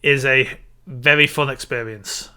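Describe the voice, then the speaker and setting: A man's voice: medium pitch, fairly even intonation, unhurried, sharp tone, smooth. A man co-hosting a podcast, chatting casually about films and games. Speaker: podcast co-host.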